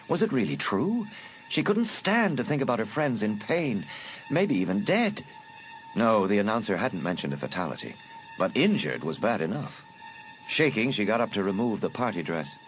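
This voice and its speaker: Low voiced guy narrating a spooky audiobook, really animated delivery, music underneath. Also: rough recording. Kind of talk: dramatic scripted narration of a suspense story.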